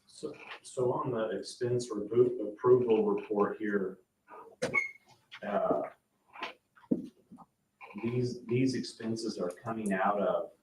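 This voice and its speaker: A man discusses business at a board meeting in a lowish, flat voice. The recording is echoey, with clear noise around him. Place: indoor town board meeting room. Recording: distant, echoey, clearly noisy room recording.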